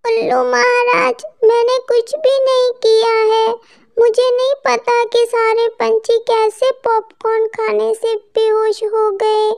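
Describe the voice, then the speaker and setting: A woman's voice: very high voice, monotone, takes it slowly, gravelly, a little mellow, full character voice. A woman voicing a sweet, kindly auntie bird who sells popcorn in a children's cartoon, speaking affectionately and earnestly. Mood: pleading. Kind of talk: children's cartoon story acting.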